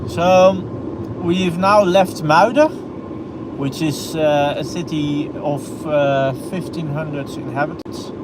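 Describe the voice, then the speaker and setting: A man with a Dutch accent talks slowly and hesitantly as he narrates his drive, pausing for fillers and restarting sentences. His voice sits a little high. Loud road and engine noise fills the car around him. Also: fairly even intonation. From Netherlands. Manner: hesitant, many fillers and restarts, searches for English words. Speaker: driving channel host. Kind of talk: live-streamed driving commentary. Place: inside a moving car.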